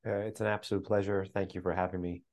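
A man answering interview questions as a policy analyst, speaking in a measured way with frequent fillers and long listed phrases. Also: low voice, level tone, darkish tone. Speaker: think tank analyst guest. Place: remote, joining from another city.

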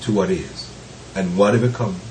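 A man who is recovering from bronchitis, so his voice sounds deeper than usual, gives a slow, reflective teaching talk with frequent pauses and the occasional soft laugh. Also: fairly even intonation, a little hiss or hum, low-quality recording. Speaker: Zen teacher.

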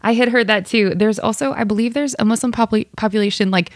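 A woman's voice: on the high side, quick pace, dry recording, studio quality. A woman hosting a casual interview, teasing her guest playfully and laughing easily. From United States. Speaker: interviewer host.

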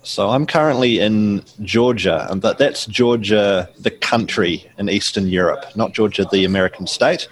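A middle-aged man with a New Zealand accent, answering interview questions in a measured, explanatory way with frequent ums and restarts. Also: fairly low pitch. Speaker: guest author.

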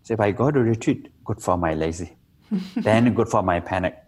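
A man with a Nepali accent speaks in a low, somewhat husky voice that rises and falls with lively swings. He talks in simple, broken English, playful and quick to laugh at himself, in a relaxed interview conversation. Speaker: meditation teacher.

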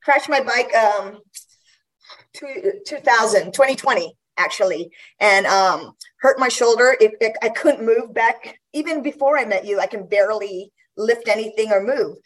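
A woman's voice: a little high, normal speed, rough recording. A woman in her fifties from Guam, chatting casually as an interview guest, laughing often and restarting her sentences. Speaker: guest athlete.

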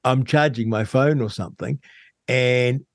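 A man with a New Zealand accent talking in a casual radio discussion as host, with a hesitant, halting delivery. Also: medium pitch, dry recording, clean studio sound. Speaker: talk show host.

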